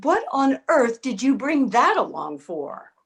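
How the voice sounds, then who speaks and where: A woman's voice: high voice, sing-song, unhurried, heavily acted. A woman playing a bossy, practical older woman in a comic readers theater piece, impatient and scolding but caring underneath. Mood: exasperated, scolding. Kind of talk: comedic readers theater acting.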